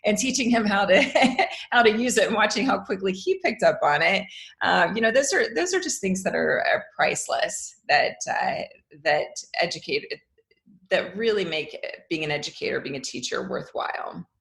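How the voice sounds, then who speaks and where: A woman's voice: lowish voice, animated, moderate pace, vocal fry, a bit bright. A woman giving conversational interview answers as a guest, telling anecdotes and chuckling as she talks. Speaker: podcast guest, educator and author.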